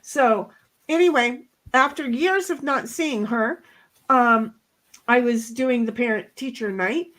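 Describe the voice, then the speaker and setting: A middle-aged woman with a loud voice, telling a story bluntly in a casual chat, swearing now and then. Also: a little high, slow pace. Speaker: co-host.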